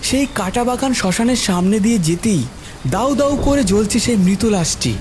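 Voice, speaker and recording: A man's voice: high voice, lively intonation, moderate pace, a little mellow, a little hiss or hum. A man narrating a scripted horror story in the first person.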